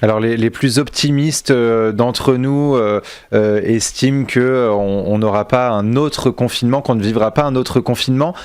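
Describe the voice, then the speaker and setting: Man, radio host, medium pitch, halting questions, dry studio-close sound. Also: fairly even intonation, darkish tone, clean tone, no room sound.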